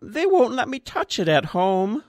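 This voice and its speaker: A man's voice: high voice, sing-song, a little slow, dry recording, studio quality, voice pushed up. A man voicing a frail old man with a thin, piping, quavering voice who rambles on chattily. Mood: faint, wondering.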